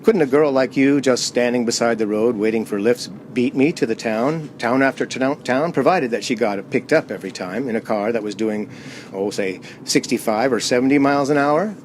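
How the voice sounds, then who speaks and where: A man's voice: mid-range voice, normal speed, a little mellow, slight background noise, natural voice. A man playing a frightened, haunted driver in a radio play, agitated and stammering as he pleads and narrates. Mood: uneasy, probing. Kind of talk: radio play acting, dramatic first-person narration.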